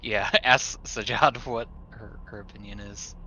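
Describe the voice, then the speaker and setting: A man with a fairly low, bright voice talks as a player in a roleplaying session. He offers suggestions casually and a little tentatively, over faint background noise. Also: fairly even intonation, unhurried, low-quality recording. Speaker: player in a tabletop game. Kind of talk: casual chat.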